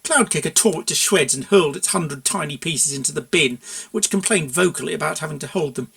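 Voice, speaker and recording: A narrator reading a comic fan fiction story aloud in an expressive storybook style. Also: medium pitch, brisk, gravelly.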